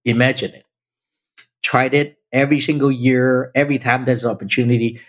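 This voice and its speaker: A man's voice: medium pitch, fairly even intonation, fairly quick. A man in his fifties from Vietnam, a native Chinese speaker, telling his story emphatically as a podcast guest and often addressing the host directly. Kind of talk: personal storytelling in conversation.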